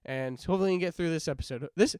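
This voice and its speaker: A man's voice: a little high, rapid-fire, darkish tone, clean tone, no room sound. Young male podcast co-host, stuffy nose, light voice, rambling casual chatter, dry close mic. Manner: laughs often, rambling. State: congested, runny nose. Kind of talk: casual chat.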